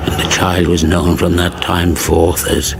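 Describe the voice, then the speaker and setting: Deep, gravelly, portentous epic narrator. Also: male voice, level tone, measured pace, background noise. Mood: portentous, deadpan. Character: solemn, portentous male chronicler of an ancient epic. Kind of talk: mock-epic scripted radio comedy narration.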